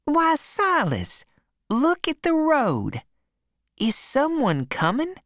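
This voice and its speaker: A woman's voice: high voice, wildly swooping pitch, slow pace, no echo, clean studio sound, pitched above the performer's own voice, full character voice. A woman voicing a fussy, emotional middle-aged aunt who gushes and chatters. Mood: scheming. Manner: gushing, chattering.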